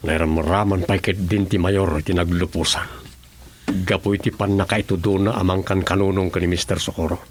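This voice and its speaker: A man acting a principled, firm governor in an Ilocano radio drama, measured and authoritative, with an Ilocano accent. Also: deep voice, steady tone, a little hiss or hum, natural voice. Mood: resolute.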